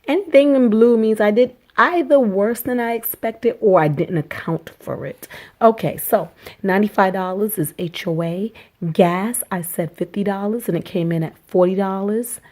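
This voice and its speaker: A woman with a hoarse voice from a cold, chatting upbeat to her viewers in a casual budget vlog from a small rented apartment. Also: medium pitch, moderate pace, darkish tone. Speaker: vlogger.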